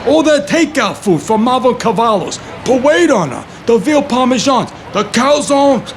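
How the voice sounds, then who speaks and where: An actor playing a naive, good-hearted New York construction worker in an audio play, earnest, rambling and unfazed, with a New York accent. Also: male voice, very high-pitched, lively intonation, normal speed, faint music behind, some chatter behind. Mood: matter-of-fact. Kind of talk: scripted audio play dialogue. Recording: faint street and traffic noise behind.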